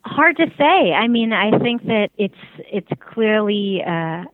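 A woman's voice: mid-range voice, very animated, slow, no echo. A woman giving careful, diplomatic interview answers as a spokesperson, heard over a tinny phone line. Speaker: interview guest, advocacy group spokesperson.

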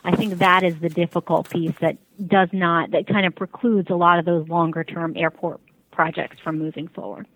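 A woman's voice: fairly low pitch, steady tone, normal speed, a bit bright, no echo. A woman giving careful, diplomatic interview answers as a spokesperson, heard over a tinny phone line. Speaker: interview guest, advocacy group spokesperson.

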